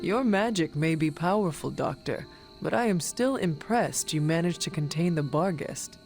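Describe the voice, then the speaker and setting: A man's voice: on the high side, expressive, normal speed. A man playing a solemn, resolute young hunter in a video game cutscene, with formal, stately phrasing. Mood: composed. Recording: loud game music behind.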